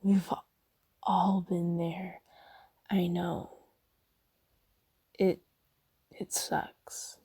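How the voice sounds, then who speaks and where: A woman voicing a gentle, caring demon in a soft ASMR roleplay, hushed, breathy and soothing, often slipping into whispers. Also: lowish voice, level tone, very slow, long pauses, exaggerated voice. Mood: soothing, understanding. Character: gentle, caring female sleep paralysis demon comforting someone.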